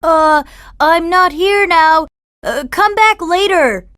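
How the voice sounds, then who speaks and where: A woman voicing a timid young wooden boy in an animated children's story, hesitant and plaintive. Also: extremely high pitch, slow, no echo, studio quality, full character voice. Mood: nervous.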